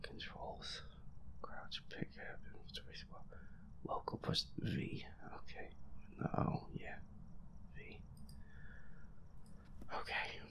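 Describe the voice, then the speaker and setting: A gamer with a breathy, whispery voice, nervously muttering to themself while playing through a horror game. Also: slow, pauses often, rough recording. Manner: nervous, muttering to self, reluctant. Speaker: solo gamer. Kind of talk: hushed let's-play commentary of a horror game.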